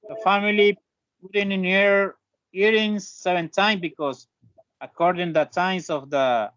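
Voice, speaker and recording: A man's voice: high-pitched, no echo. A male student answering hesitantly in an English class. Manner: hesitant, searching for words. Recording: dry, muffled call audio.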